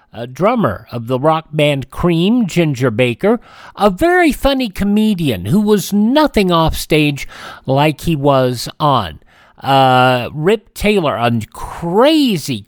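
A man hosting a radio show, reading out a list of dates and names in a halting, deliberate way. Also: fairly high pitch, no room sound, studio quality. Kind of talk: radio almanac narration.

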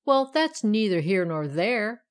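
A woman's voice: mid-range voice, sing-song, measured pace. Woman playing a smug, jolly, boastful fireplace poker. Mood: jovial, chatty. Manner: jovial, boastful, chatty, sing-song in verse. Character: cheerful, smug, bold living fireplace poker, male.

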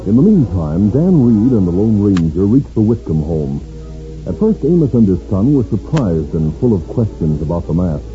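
Low-voiced, animated male radio narrator. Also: moderate pace, music in the background. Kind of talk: dramatic scripted radio western narration, 1950s. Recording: dull 1950s radio recording.

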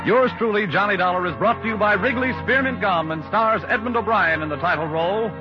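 A man's voice: sits high, fairly quick. An American man announcing on 1950s radio, reading sponsor messages and credits with polished, upbeat salesmanship. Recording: muffled vintage radio recording. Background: program theme music.

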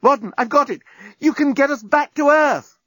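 A man voicing an excitable, forceful young Earth woman with an emphatic, exclaiming delivery in an audiobook. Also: lowish voice, fairly quick, breathy edge, no echo, pitched above the performer's own voice. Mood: excited. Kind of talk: audiobook character voice.

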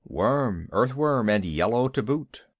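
A quick-witted, commanding panther from a storybook, read by a man. He speaks in courteous, formal, old-fashioned phrases with an animated pitch and a dry, close sound. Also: no echo. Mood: sly.

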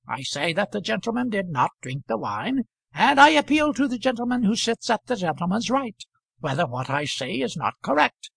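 A man voicing a fussy, touchy male salesman who talks in a high, aggrieved, pleading way. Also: creaky, a bit bright, breathy edge, no room sound, full character voice.

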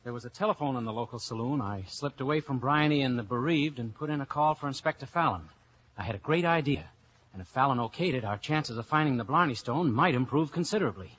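A man's voice: medium pitch, lively intonation, fast, fairly bright tone, close to an everyday voice. A man acting a wry American investigator in an old radio play. His mid-range voice is casual, dry and teasing, on a dull recording with faint hiss. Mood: brisk narration. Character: wry, easygoing American investigator abroad in Ireland. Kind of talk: 1950s radio drama acting and narration.

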